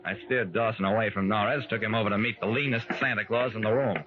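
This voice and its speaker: An actor with an American accent playing an affable private detective in an old radio drama. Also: male voice, on the low side, steady tone, fast talker, phone-quality sound, natural voice. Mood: narrating. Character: affable male private detective narrating his casebook. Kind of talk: 1940s-50s radio acting. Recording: noisy, band-limited old radio recording.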